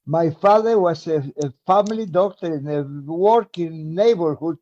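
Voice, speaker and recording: Elderly Argentine man, muffled and halting. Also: on the high side, warm tone. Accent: Argentine Spanish. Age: around 90. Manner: halting, many pauses and fillers, chuckles often. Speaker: guest, retired medical professor. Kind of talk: conversational interview.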